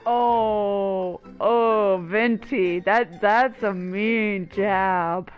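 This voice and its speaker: A woman's voice: medium pitch, steady tone, very slow, dull audio. A woman giving casual, teasing, playfully exasperated commentary on a video game as she plays. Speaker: game streamer. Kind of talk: casual reactive gaming commentary. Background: game music.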